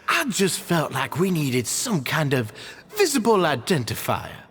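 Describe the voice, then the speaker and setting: A man's voice: mid-range voice, fairly bright tone, slight background noise. An actor playing an earnest, deferential male club leader in a video game, courteous and eager in manner.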